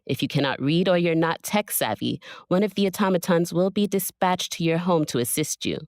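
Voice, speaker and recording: Audio drama role: composed female coordinator with quiet authority, lowish voice, formal delivery, dry studio sound. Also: expressive, no echo. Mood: officious welcome. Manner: commanding, measured. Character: authoritative female colony coordinator, composed, stern, faintly menacing.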